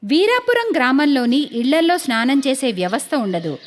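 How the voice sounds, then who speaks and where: A woman's voice: sits high, big pitch swings, moderate pace. A woman narrating a scripted moral story in a storytelling style.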